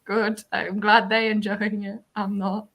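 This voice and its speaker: Woman, livestream host, bright forward voice, casual chat, reading comments aloud. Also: mid-range voice, level tone, a little slow.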